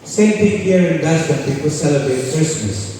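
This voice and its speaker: A man's voice: fairly high pitch, lively intonation, moderate pace, warm tone, a little hiss or hum. A man from Croatia, giving an informal spiritual talk and putting questions to a live audience. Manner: conversational, poses questions to the audience. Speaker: kirtan leader and spiritual speaker. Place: large indoor hall with a live audience. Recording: echoey hall, voice far from the mic.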